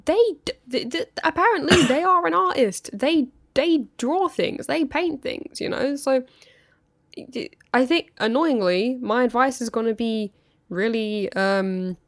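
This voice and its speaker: A woman's voice: high voice, animated, unhurried, no echo, clean studio sound. A woman co-hosting a casual podcast chat. She giggles and stumbles over her words as she gives advice. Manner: giggly, stammering restarts. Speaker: podcast co-host. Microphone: podcast microphone.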